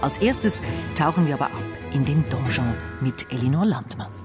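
A man's voice: mid-range voice, lively intonation, moderate pace. A man presenting a radio magazine, reading a scripted introduction. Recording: music behind the voice.

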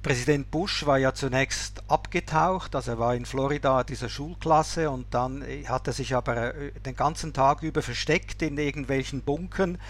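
A man giving measured, explanatory political commentary as an interview guest. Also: mid-range voice.

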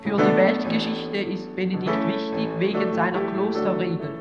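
An actress playing a matter-of-fact figure reciting a history lesson in a scripted radio drama. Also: female voice, mid-range voice, fairly even intonation, normal speed, close to an everyday voice. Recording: dull sound, reverberant hall. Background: loud piano music.

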